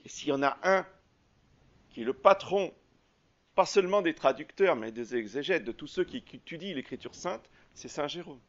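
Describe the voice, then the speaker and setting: A French-speaking priest preaching in a slightly high voice, pausing on fillers and starting phrases over as he explains. The recording is dull and lo-fi. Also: animated. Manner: hesitant, halting with many fillers and restarts. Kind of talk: sermon.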